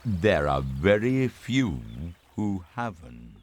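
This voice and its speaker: An actor playing a majestic, solemn talking lion in a radio drama, speaking slowly with grave tenderness in a gravelly voice. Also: male voice, low-pitched, very animated, slight background noise, exaggerated voice. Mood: grave, gentle. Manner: slow, deliberate, grave.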